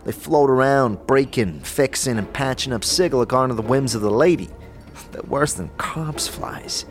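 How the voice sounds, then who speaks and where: An actor playing a sarcastic, wisecracking floating skull in an audio drama, irritable and mocking. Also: male voice, medium pitch, moderate pace, natural voice. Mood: exasperated grumbling. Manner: irritable, mocking, animated exclamations. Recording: music behind the voice.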